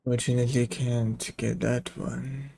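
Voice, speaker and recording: A man's voice: mid-range voice, flat delivery, a little slow, creaky, warm tone, slight background noise. A man giving casual gameplay commentary, muttering short remarks to himself as he plays. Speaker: game streamer.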